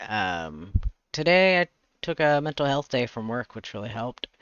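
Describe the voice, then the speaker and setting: A man with a lowish voice talks casually to a livestream as he works. He rambles and hesitates, hunting for words. The sound is close and dry, though a little dull. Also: a bit bright, dry recording. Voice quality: raspy. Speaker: streamer, webcomic artist. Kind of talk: casual chatty livestream monologue. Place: indoors, livestream.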